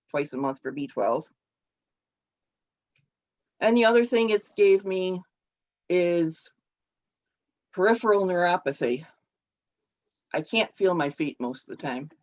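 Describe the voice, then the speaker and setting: A woman giving a personal speech to her club over a video call, halting and explanatory with frequent restarts. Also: low-pitched, lively intonation. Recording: dull lo-fi call audio.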